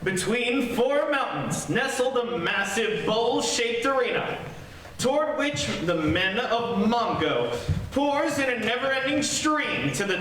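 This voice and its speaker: A man's voice: sits high, a bit bright, exaggerated voice. A man narrating an old-time radio serial in a dramatic announcer manner. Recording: distant, very echoey hall, faint noise.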